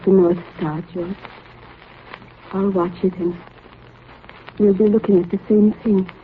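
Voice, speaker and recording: An actress playing a captain's devoted wife in a 1930s radio drama, speaking in a deliberate, sentimental way. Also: female voice, mid-range voice, fairly even intonation, close to an everyday voice. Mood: tender, wistful. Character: devoted captain's wife bidding tender, composed farewell. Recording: tinny, noisy 1930s radio recording.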